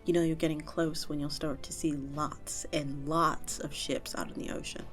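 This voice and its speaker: A woman's voice: low voice, moderate pace, faint music behind, voice pushed down, close to an everyday voice. A woman voicing a friendly, nurturing vampire tavern keeper in an audio roleplay, chatting to the listener in a coaxing, conversational way. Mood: helpful, informative. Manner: animated, coaxing, conversational with little chuckles.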